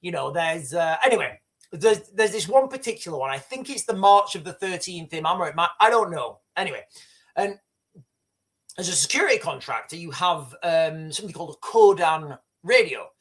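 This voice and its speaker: A man's voice: fairly high pitch, lively intonation, moderate pace, fairly bright tone. Rambling man hosting a livestream. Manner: rambling, frequent restarts and swearing. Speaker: livestream host. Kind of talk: casual live commentary and storytelling.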